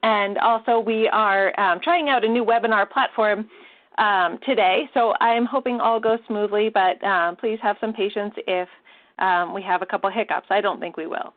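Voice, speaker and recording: A woman's voice: medium pitch, moderate pace. A woman hosting a webinar, introducing the presenter in a polite, slightly hesitant way with frequent fillers. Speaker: webinar host. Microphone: web call audio. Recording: tinny phone-like audio.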